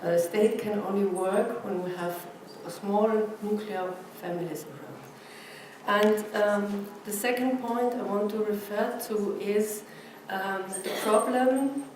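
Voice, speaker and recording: Female academic speaker, Austrian German accent, mid pitch, halting lecture delivery, heard from afar in a very echoey room. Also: darkish tone. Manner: halting, searching for words. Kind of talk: conference lecture in non-native English. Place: presentation room with an audience. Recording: echoey room, distant mic, noisy background.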